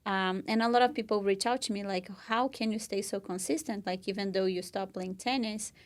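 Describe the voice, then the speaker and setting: A woman's voice: mid-range voice, fairly even intonation, brisk. A young woman from Brazil with a Brazilian Portuguese accent, co-hosting a podcast and telling her own story in an earnest, casual way full of "you know" and "like". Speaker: podcast co-host.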